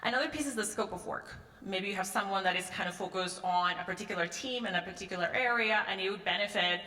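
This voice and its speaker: A woman's voice: mid-range voice, quick pace, pushed and bright. A middle-aged woman from Peru giving a conference talk on stage, conversational, with frequent "um" and "right?" tags. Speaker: conference speaker. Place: large conference hall. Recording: echoey hall, long reverb.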